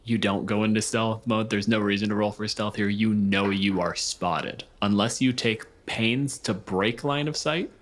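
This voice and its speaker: A man's voice: fairly low pitch, normal speed, few pauses. A man narrating casually and conversationally as the game master of a tabletop role-playing game. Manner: profane, conversational.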